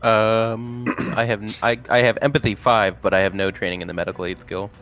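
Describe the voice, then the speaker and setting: Low-voiced man in casual game chat. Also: level tone, brisk, a bit bright, soft background music. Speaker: player in a tabletop roleplaying game.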